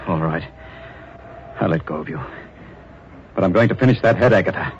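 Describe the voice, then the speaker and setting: An actor playing a bitter, obsessive sculptor and menacing husband in a 1940s radio melodrama, intense and brooding. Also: male voice, sits low, a little fast, natural voice. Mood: pleading, insistent. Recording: muffled, noisy old radio recording.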